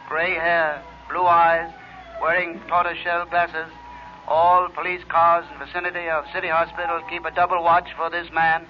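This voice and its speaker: An actor playing an official, emphatic police dispatcher, reading bulletins in a formal, clipped way in an old radio drama. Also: male voice, fairly high pitch, natural voice. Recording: muffled, noisy old radio recording.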